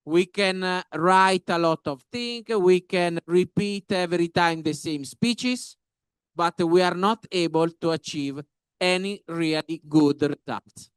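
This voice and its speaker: Slow, high-pitched Italian-accented man. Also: clean tone, dry recording. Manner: halting, emphatic non-native English with short phrases. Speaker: professor, panel speaker. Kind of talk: panel discussion remarks.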